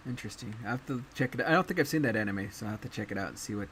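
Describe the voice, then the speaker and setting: A man's voice: fairly low pitch, very fast. Chill guy talking anime shows, lots of ums, bit of hiss in the back. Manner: relaxed, hesitant, many fillers. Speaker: podcast host. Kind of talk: casual anime review chat.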